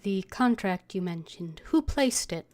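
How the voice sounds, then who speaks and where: A woman's voice: medium pitch, expressive, a little slow, a little mellow. A woman game streamer with a mid-range voice, reading lines aloud and commenting casually. Faint game music and keyboard sounds are behind the voice.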